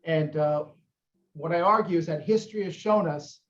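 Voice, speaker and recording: A man's voice: on the high side, moderate pace, fairly bright tone. Earnest man on echoey, dull audio. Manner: argumentative, earnest, frequent restarts. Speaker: historian on panel. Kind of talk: academic panel discussion.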